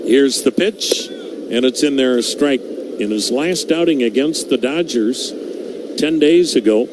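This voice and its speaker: Male American baseball play-by-play announcer. Slow, measured delivery in clipped phrases, over clear stadium background noise. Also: mid-range voice, animated. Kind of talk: live play-by-play commentary. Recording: noisy live ballpark ambience.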